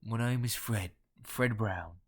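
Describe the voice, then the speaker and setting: A man's voice: on the low side, slow pace. A man voicing a sly, hard-drinking Cockney Londoner with a guttural, nasal, scratchy smoker's voice in an audio horror story. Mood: sly, unhurried.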